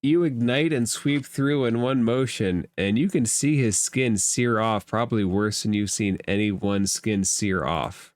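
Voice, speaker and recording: A game master narrating a tabletop game in a measured, matter-of-fact way. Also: medium pitch, dry recording.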